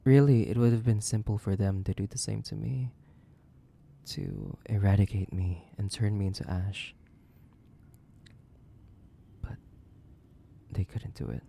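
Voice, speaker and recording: A man playing a sadistic, possessive, centuries-old vampire in a horror roleplay, theatrical and menacing, with a creaky voice that lapses into breathy whispers. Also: on the low side, measured pace, pauses often, warm tone. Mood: brooding. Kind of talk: dramatic horror roleplay audio, addressing the listener.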